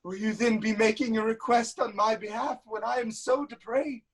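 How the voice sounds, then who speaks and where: A woman's voice: fairly high pitch, steady tone, normal speed, breathy edge. A woman playing an anguished, self-loathing blinded king in a declamatory, lamenting style of Greek tragedy. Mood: pleading. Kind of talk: theatrical Greek tragedy acting.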